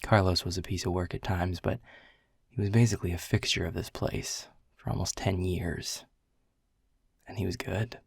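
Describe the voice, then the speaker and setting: A man's voice: fairly even intonation, normal speed, pauses often, no room sound, full character voice. An actor playing a world-weary, sarcastic male middle manager who grumbles and teases dryly. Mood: gruff teasing. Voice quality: low gravelly husky voice. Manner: sarcastic, grumbling, dry teasing. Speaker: boss giving a farewell speech. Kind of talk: audio roleplay acting. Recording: dry close mic.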